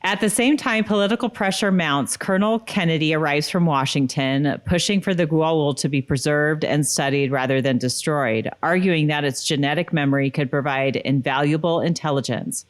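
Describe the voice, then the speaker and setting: A woman's voice: low voice, normal speed, few pauses. A woman co-hosting a podcast, chatting casually and sometimes reading out recaps. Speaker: podcast co-host. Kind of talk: casual chat with read episode recaps.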